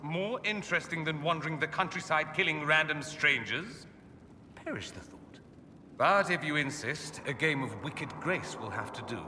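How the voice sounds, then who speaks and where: An actor playing a witty, flamboyant and sardonic mage in a fantasy game, with an arch, teasing delivery. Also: male voice, fairly high pitch, expressive, normal speed, long reverb tail, noisy background, close to an everyday voice. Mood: playful, arch. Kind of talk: scripted fantasy game dialogue.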